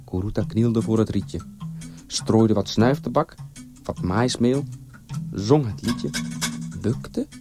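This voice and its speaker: A man narrating a scripted folktale as a storyteller, with expressive, theatrical delivery. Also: fairly low pitch, takes it slowly, long pauses, over music.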